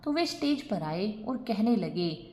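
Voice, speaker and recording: Woman narrator as company director, devoted son, formal, reverent, slow speech, lively intonation, storybook. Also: medium pitch. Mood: grateful, formal.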